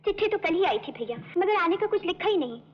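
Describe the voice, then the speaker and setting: Very high-voiced innocent young sister. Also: female voice, lively intonation, fast. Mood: earnest. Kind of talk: old Hindi film drama acting. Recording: dull lo-fi old film soundtrack.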